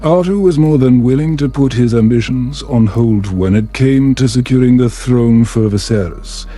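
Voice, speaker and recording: A man narrating a scripted bedtime story in a calm, soothing, measured manner. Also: mid-range voice, faint music behind. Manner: soothing, measured, unhurried delivery.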